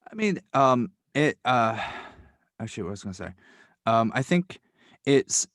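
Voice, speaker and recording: A man's voice: medium pitch, very animated, unhurried, fairly bright tone, no echo, clean studio sound. A young man, a podcast guest, talking casually and thoughtfully, with many fillers and restarts. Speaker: podcast guest, writer and sound designer. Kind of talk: casual interview talk.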